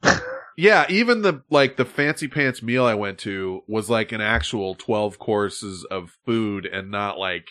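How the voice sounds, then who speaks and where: A man's voice: fairly low pitch, lively intonation, a little slow, sharp tone. A man hosting a podcast in casual chat, rambling, swearing freely and laughing often. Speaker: podcast host.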